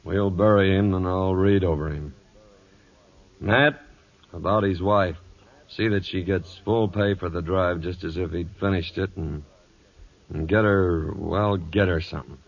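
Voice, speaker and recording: An actor playing a hard, commanding cattle boss with an American accent and a clipped, stern manner in a 1940s radio western. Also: male voice, deep voice, fairly even intonation, a little slow. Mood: somber. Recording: dull old 1940s radio broadcast.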